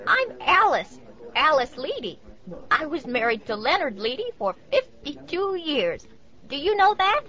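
An actress playing a chatty elderly widow in a nursing home who keeps asking if you knew. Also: female voice, sits high, very animated, a little slow, bright, forceful tone, full character voice. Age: older. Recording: dull lo-fi recording.

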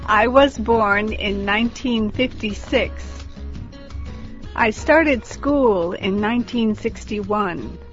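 A woman slowly repeating model sentences for a language drill. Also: medium pitch. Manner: slow, carefully enunciated. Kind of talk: slow drill repetition. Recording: dull lo-fi audio with faint music.

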